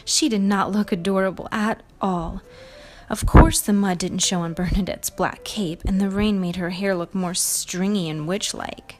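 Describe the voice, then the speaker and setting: A woman's voice: medium pitch, steady tone, normal speed. A woman hosting a read-aloud, telling a storybook to young children with emphatic expression. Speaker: storybook reader and show host.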